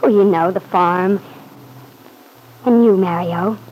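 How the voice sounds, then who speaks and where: An actress playing a sweet, anxious young wife, eager and placating, in an old-time radio soap opera. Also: female voice, medium pitch, slow pace, a little mellow, a little hiss or hum, lightly acted, low-quality recording.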